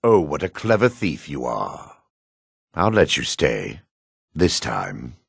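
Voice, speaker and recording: An actor playing a gruff, proud male dark elf in a fantasy video game, with a rasping voice and theatrical delivery. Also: very deep voice, big pitch swings, takes it slowly, no echo, clean studio sound, heavily acted. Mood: grim, resigned. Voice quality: gravelly, rasping.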